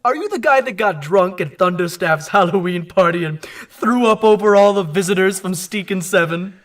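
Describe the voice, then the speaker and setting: A man's voice: high voice, animated, moderate pace, fairly bright tone, distant mic. A man playing a vain, easily flustered famous superhero in a comic audio drama, with an indignant manner. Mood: indignant, puzzled. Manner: indignant, stammering when flustered.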